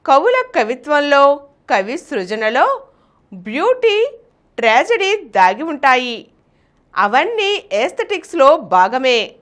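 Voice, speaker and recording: A woman presenting a scripted literary review as a host, with formal, measured, declamatory phrasing. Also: sits high, very animated, a bit bright. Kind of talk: scripted literary review narration with recited verse.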